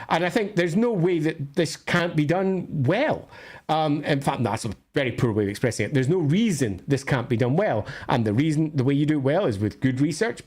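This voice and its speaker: A man's voice: fairly high pitch, a little mellow. A Scottish man hosting a casual livestream, thinking aloud as he answers questions in a discursive, opinionated way with colloquial asides. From northern Scotland.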